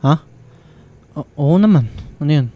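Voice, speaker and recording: An actor playing a reserved, supportive young student in a radio drama, with a Filipino accent and halting delivery. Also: male voice, on the high side, lively intonation, dull audio. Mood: guarded concern. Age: young adult. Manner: hesitant, halting. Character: Liam, reserved young male student, quietly supportive friend. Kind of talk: radio drama acting with English code-switching.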